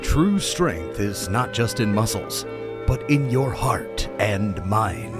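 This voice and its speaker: A man's voice: lowish voice, expressive, takes it slowly, over loud music. A man playing a rugged, wise farmer father in his forties, with solemn, stilted dubbed-film delivery. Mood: solemn, fatherly.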